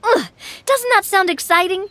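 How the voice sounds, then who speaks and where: A woman's voice: extremely high pitch, normal speed, bright, forceful tone. A woman voicing a playful, confident young woman in a video game, breezy and coaxing. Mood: excited. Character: playful, confident young woman, thrill-loving tabletop player. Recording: music playing behind the voice.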